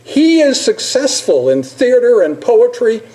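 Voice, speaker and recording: An older man giving an informal presentation as a lecturer, halting at times with repeated words and pauses. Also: very high voice, very animated, warm tone. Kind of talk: informal slide presentation with poetry recitation.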